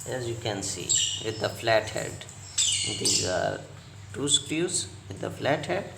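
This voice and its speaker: A man demonstrates a repair step by step in short, halting phrases. His delivery is slow and gravelly, with instructions often repeated. The recording has loud background noise and clicks. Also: mid-range voice, reverberant. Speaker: repair technician giving a tutorial.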